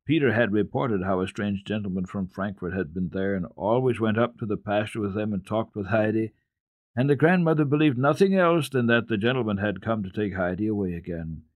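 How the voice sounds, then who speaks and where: Male children's radio narrator, lowish pitch, close dry sound, dramatic storybook reading. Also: brisk, dry recording, narrow, tinny audio.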